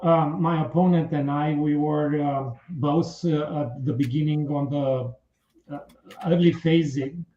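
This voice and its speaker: A man with a Romanian accent speaking as a political candidate in a radio interview, in formal, earnest, halting phrases. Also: a little high, mellow. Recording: muffled, roomy radio interview sound.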